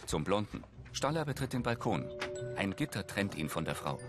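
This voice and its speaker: A man's voice: on the low side, sing-song, moderate pace, over loud music. A man reading scripted audio description, narrating scenes in the present tense over a soundtrack.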